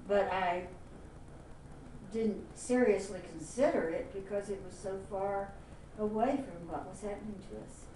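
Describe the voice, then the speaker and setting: Older woman, mellow voice, echoey room. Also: mid-range voice, a little slow, pauses often. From Miami, Florida. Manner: plain-spoken, reflective. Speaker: interviewee. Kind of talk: oral history interview. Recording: echoey room, mic at a distance, faint hiss.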